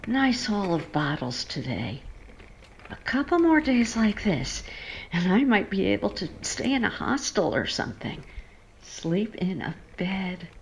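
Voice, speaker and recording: A woman's voice: medium pitch, big pitch swings, a little slow, a little hiss or hum. Casting: actress for elderly female vagrant in a horror radio play, plaintive, indignant, given to muttering to herself. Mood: weary muttering. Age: older. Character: weary, indignant homeless old bag lady who mutters to herself. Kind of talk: audio horror drama acting.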